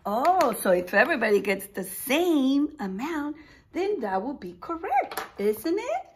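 A woman in her forties reads a picture book to young children as their teacher. Her voice sits fairly high and swings widely in pitch. She takes her time, speaking slowly and exclaiming expressively as she puts questions to them. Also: very animated, a little hiss or hum. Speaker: preschool teacher. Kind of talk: animated children's picture-book read-aloud. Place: small indoor room with posters.

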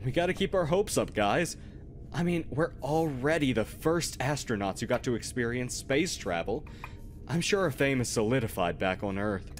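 Man playing an upbeat astronaut, medium-pitched voice. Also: lively intonation, moderate pace, natural voice. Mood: encouraging. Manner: jovial, soothing, casual. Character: upbeat, joking, reassuring male astronaut. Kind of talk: audio roleplay acting. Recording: faint noisy ambience.

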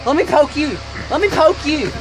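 A teenage boy, loudly joking and complaining as friends rough him up on a school bus, filmed on a handheld phone. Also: very high-pitched, lively intonation, normal speed, loud music behind the voice. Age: teens. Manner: loud, yelping, laughing complaints. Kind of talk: rowdy casual banter. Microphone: handheld phone camera. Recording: noisy bus with crowd.

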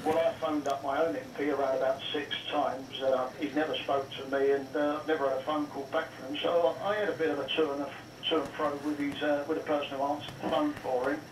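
A man's voice: on the high side, flat delivery, fast. A man calling a radio phone-in, telling indignant anecdotes in a wordy way, acting out both sides of conversations. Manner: wordy, retells exchanges as reported dialogue. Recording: roomy radio playback, distant, noisy.

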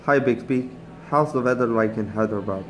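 A man's voice: mid-range voice, fairly quick, rough recording. A man giving a casual product demonstration, recorded on a phone. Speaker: phone demo presenter. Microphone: handheld phone. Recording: noticeable background noise, phone-recorded.

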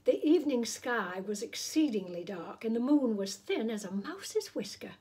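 A middle-aged woman reading a children's story aloud in a deliberate, theatrical, playful way. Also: fairly high pitch, sing-song, mellow, breathy edge. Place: small room indoors.

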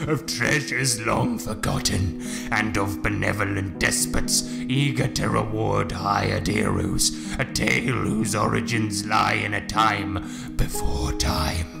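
A man's voice: on the low side, creaky, loud music behind the voice, full character voice. Man playing a grand, resonant young showman. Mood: grand, inviting. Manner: slow, grand declamation. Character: ragged young showman, theatrical, grandly inviting puppet-show herald.

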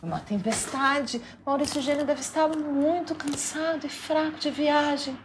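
A woman playing a swooning, lovesick heroine in an improvised radio soap parody, with gasps and drawn-out exclamations. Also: sits high, noisy background, lightly acted. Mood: tender, anxious.

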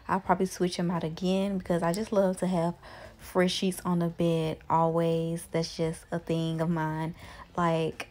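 A young woman narrating her cleaning routine in a relaxed, chatty way, with many casual fillers. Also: fairly low pitch. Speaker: lifestyle vlogger.

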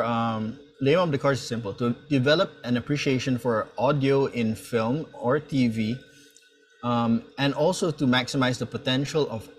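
A man in his thirties with a medium-pitched voice. He talks like a mentor introducing himself and his class on a video call. His delivery is informal and hesitant, with halting phrases and words repeated as he searches for the next one. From Malaysia. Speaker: sound design instructor and mentor. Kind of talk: informal introductory talk at an online open house. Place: indoor room, on a video call.

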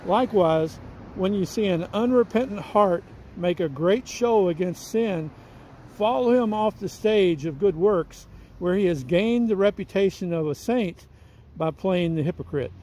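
A man's voice: high voice, a little slow, a little mellow, a little hiss or hum. A man in his sixties giving an earnest devotional reading and prayer, projecting his voice outdoors. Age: 60s. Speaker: lay Bible teacher and reader. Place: outdoors in a church parking lot.

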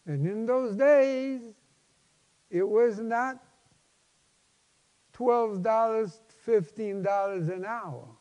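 A man in his seventies, a pastor preaching a personal testimony in an emphatic, halting, folksy way. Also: sits high, a little mellow, dull audio. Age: 70s. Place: large church sanctuary, at a lectern. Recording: long hall reverb.

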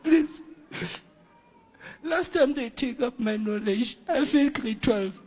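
Young man with a high voice, halting and pleading in front of a congregation. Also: darkish tone, slightly rough. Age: young adult. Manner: halting, pleading, broken English. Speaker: congregant receiving deliverance. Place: church service before an audience.

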